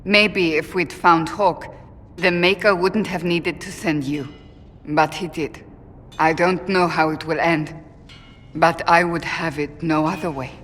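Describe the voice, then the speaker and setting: A proud warrior of a fantasy game with a lowish, stern voice. She speaks formally and earnestly, quick to reproach herself. The sound has long reverb and some background noise. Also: fairly even intonation, fairly bright tone. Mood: solemn. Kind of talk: dramatic video game acting.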